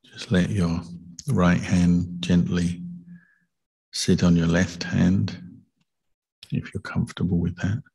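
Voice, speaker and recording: Very deep, slow, gravelly male meditation guide. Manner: calm, deliberate, gentle instruction with long pauses. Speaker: Buddhist monk meditation teacher.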